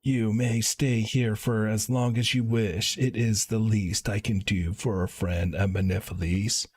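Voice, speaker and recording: Man reading as a husky, kindly fatherly caretaker. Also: on the low side, fairly even intonation, a little mellow, dry recording. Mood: grave, kindly. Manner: formal, old-fashioned wording, halting reading. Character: kindly, formal fatherly caretaker in a fantasy game.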